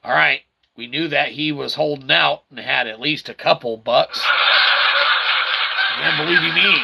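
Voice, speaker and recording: A man's voice: fairly high pitch, a little slow, creaky, breathy edge, low-quality recording. A man giving casual, chatty let's-play commentary as a game streamer, rambling along and tagging his remarks with "okay" and "all right". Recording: loud game music behind the voice. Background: video game music.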